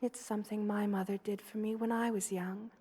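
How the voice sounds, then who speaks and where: A woman voicing a gentle, loving, motherly spider queen in a soft, hushed ASMR roleplay, tender and wistful, often slipping toward a whisper. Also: medium pitch, level tone, brisk, breathy edge, close to an everyday voice. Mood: soothing, motherly.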